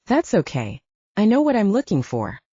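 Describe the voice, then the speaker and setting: A candid young woman from an audiobook romance, acted out by a woman narrator. She teases playfully with animated intonation and a mellow tone. The recording is dry and close. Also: medium pitch, normal speed, breathy edge, no echo, lo-fi sound.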